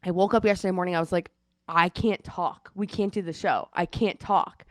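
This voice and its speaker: A woman in her thirties, congested and losing her voice, chatting at home and telling a lively story over a video call. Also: lowish voice, moderate pace, no room sound, clean studio sound. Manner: chatty storytelling, animated retelling. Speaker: co-host. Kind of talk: casual chat. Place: at home in Seattle.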